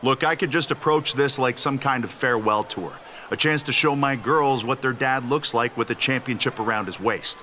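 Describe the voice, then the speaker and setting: A man's voice: mid-range voice, normal speed, a little hiss or hum, close to an everyday voice. A middle-aged man playing a proud, determined veteran champion wrestler in a video game, speaking in an earnest promo style. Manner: earnest, forceful.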